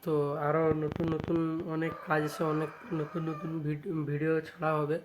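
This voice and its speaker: A man's voice: on the high side, monotone, normal speed, noisy background. A man with a West Bengali accent casually showing off products as a shop owner vlogging, often adding little checking tag phrases. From West Bengal, India. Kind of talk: casual product showcase vlog.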